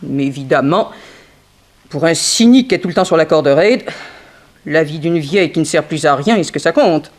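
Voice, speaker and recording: An actress playing a frank, wry young dancer in an early 1960s French radio mystery, theatrical and gossipy. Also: female voice, sits low, expressive, moderate pace, a little mellow. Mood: sardonic, insinuating.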